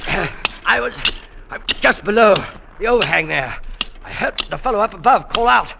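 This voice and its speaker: An actor playing an earnest, ailing mountaineer in old radio drama style, halting and hesitant, reassuring yet unsure of himself. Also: male voice, high-pitched, animated, dull audio. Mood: urgent, reassuring. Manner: halting, breathy exertion and hesitant stammers. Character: earnest ailing male mountaineer, reassuring but self-doubting. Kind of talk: 1940s-50s radio drama acting. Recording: noisy vintage radio recording.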